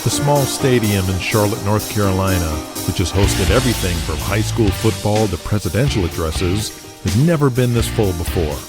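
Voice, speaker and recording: A man's voice: fairly low pitch, expressive, normal speed, over loud music. A man narrating an audio drama in a dramatic, scripted, present-tense storytelling style.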